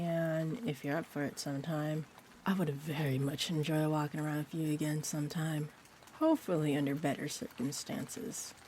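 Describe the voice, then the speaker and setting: A woman playing a sweet, shy female vampire in an intimate roleplay audio, rambling gently and hesitantly. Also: sits low. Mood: shy, hopeful. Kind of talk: roleplay audio, intimate one-sided chat. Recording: steady rain-like ambient noise. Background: rain ambience.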